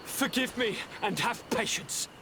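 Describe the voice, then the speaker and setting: A man's voice: very high-pitched, unhurried. A man playing a tormented young nobleman in a video game, theatrical and earnest. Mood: fervent, pleading. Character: tormented young nobleman turned monstrous, fervent. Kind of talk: video game cutscene acting. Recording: clear background noise.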